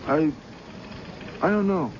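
A man's voice: on the high side, takes it slowly. An actor playing a tired, evasive, uneducated male suspect who hesitates and stammers in a radio drama. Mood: evasive, rattled. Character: tired, evasive, illiterate drifter held as suspect. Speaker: suspect under questioning. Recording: dull old radio recording, faint noise.

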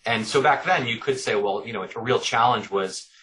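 A man's voice: mid-range voice, normal speed, pushed and bright, low-quality recording. A middle-aged man answering interview questions thoughtfully, often repeating words as he works out his points. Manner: thoughtful, repeats words while working out ideas. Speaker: author guest.

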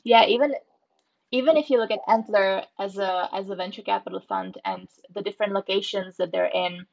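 A woman answers interview questions, pausing on frequent fillers as she thinks. Her delivery stays fairly level, with little rise and fall. The audio is dull and muffled, like a lo-fi recording. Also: mid-range voice, fairly even intonation, gravelly. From Czech Republic. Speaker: startup founder guest.

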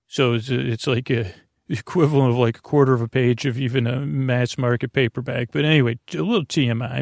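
A man hosting a bedtime podcast, talking in a soft, creaky, meandering way with many fillers and restarts. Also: medium pitch, no room sound, studio quality. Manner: soft, meandering, self-interrupting. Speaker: podcast host. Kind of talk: rambling bedtime podcast talk.

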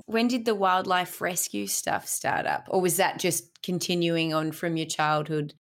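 A woman's voice: lowish voice, steady tone, unhurried. A woman with an Australian accent, chatting as the host of an interview podcast.

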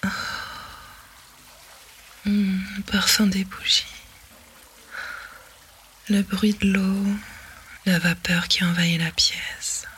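A woman whispering a sensual roleplay to the listener in a breathy, commanding way. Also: mid-range voice, flat delivery, takes it slowly, long pauses, a bit bright, a little hiss or hum. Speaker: narrator of an intimate audio roleplay.

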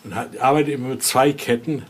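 A man giving an informal, halting explanation as a guide, often ending phrases with little questioning tags. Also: medium pitch, fairly even intonation, slight background noise. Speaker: interviewee, exhibit guide and Voodoo expert. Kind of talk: informal spoken explanation during a guided tour.

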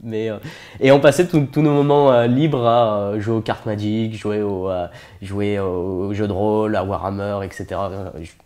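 A man's voice: on the low side, fairly even intonation, normal speed, a little mellow. A French man in his twenties chatting casually as an interview guest, joking and self-deprecating in his manner. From France. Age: 20s.

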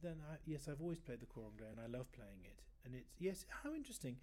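A man in his fifties with a fairly high voice, chatting in an interview and telling rambling stories with many self-corrections. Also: very animated, quick pace, a little mellow. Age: 50s. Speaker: guest oboist. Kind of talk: casual interview chat.

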